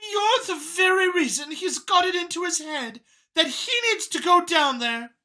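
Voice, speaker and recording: A man's voice: extremely high pitch, measured pace, pushed and bright, voice pushed up, exaggerated voice. A man voicing a haughty, exasperated female dragon in an audiobook story, imperious and indignant. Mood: accusing.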